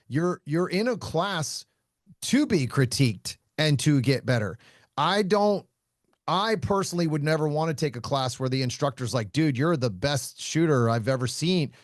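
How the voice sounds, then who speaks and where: Blunt, loud-voiced man instructor. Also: fairly high pitch, expressive, normal speed, dry recording, studio quality. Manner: blunt, laughs often, swears casually. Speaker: shooting instructor and podcast host. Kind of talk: casual podcast conversation.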